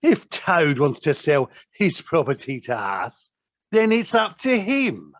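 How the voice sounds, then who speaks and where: Slow, sly boss weasel villain, acted out. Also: mid-range voice, wildly swooping pitch, no room sound, heavily acted. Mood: angry bullying. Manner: menacing, sneering, drawling with sudden snaps. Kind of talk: children's audio play acting. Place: living room at home, recorded over video call.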